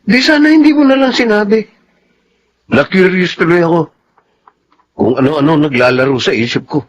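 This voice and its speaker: An actor playing an insistent, exasperated young boyfriend in a Filipino radio drama. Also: male voice, high voice, normal speed, close to an everyday voice. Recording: dull, noisy radio drama recording.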